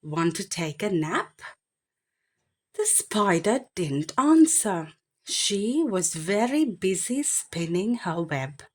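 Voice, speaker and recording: Slow, expressive woman reading a storybook. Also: mid-range voice. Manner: expressive, deliberate phrasing with animal sound imitations. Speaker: storyteller reading a children's picture book aloud. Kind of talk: slow read-aloud bedtime storytelling.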